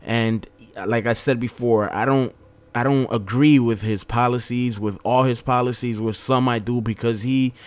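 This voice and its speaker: Young male vlogger, mid-pitched and smooth, loose rambling delivery with restarts. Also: steady tone, normal speed. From Dominican Republic. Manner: rambling, frequent restarts and self-corrections. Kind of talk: casual opinion vlog.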